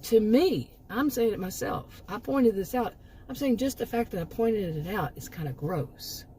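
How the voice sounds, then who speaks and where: A middle-aged woman talks straight to her viewers in a rambling, emphatic way, doubling back on her words. Her voice is mellow and warm, with a hoarse edge, since she is losing it. A faint hiss of noise sits under the recording. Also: on the high side, animated, normal speed, low-quality recording. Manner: rambling, emphatic, with frequent restarts and asides. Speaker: commentary channel host. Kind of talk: casual opinion monologue.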